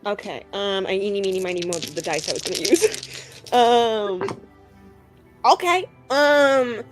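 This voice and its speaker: A woman's voice: mid-range voice, a little slow. Female tabletop player, joking casual improviser, average register, music underneath. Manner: playful, rambling, joking. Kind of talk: casual improvised roleplay and game chat. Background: music behind the voice.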